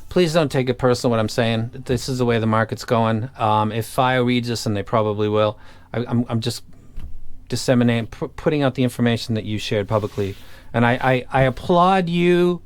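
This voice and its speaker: A man's voice: medium pitch, a little fast, clear, resonant tone. A man talking casually and off the cuff as an online audio gear reviewer, blunt, opinionated and colloquial. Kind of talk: casual unscripted review talk.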